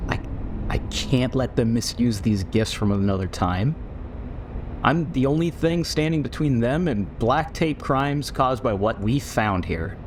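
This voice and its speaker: A man playing a defiant, principled military commander in a science fiction audio drama, guarded and measured with hesitant pauses. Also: mid-range voice, lively intonation, a little hiss or hum, close to an everyday voice. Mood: earnest, shaken.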